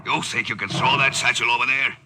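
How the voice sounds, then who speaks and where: A man's voice: medium pitch, fairly even intonation, brisk, close to an everyday voice. An actor playing a steadfast, fatherly sergeant major in a 1940s war radio drama. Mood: urgent command. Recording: very noisy old 1940s radio recording.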